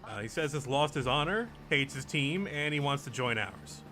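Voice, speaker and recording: A man's voice: medium pitch, lively intonation, normal speed. A man giving a casual recap of a video game as a podcast host.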